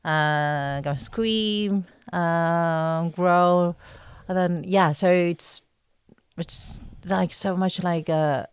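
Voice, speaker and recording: Low-pitched, hesitant woman in an interview. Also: drawn-out words, clear, resonant tone, no room sound, clean studio sound. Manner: hesitant, searching for words in short broken phrases. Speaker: guest actress being interviewed. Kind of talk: casual podcast interview chat.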